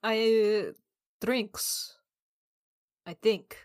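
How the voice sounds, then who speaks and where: A woman's voice: medium pitch, steady tone, extremely slow, smooth, no room sound, studio quality. A woman improvising casually as a player in a tabletop roleplaying game.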